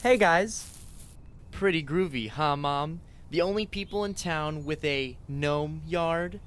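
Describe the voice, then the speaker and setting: Man playing high-voiced, defiant teenage son. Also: slow pace, fairly bright tone, smooth. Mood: eager, upbeat. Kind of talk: radio play acting.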